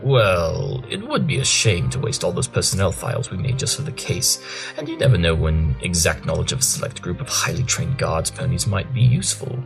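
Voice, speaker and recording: A haughty, brilliant detective stallion, acted by a man, with a low voice full of gravel. He speaks in a clipped, analytical way, as in a radio play with soft music behind. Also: wildly swooping pitch, brisk, exaggerated voice. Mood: dry, awkward. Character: brilliant, aloof, socially tactless male pony detective. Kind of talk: audio drama acting.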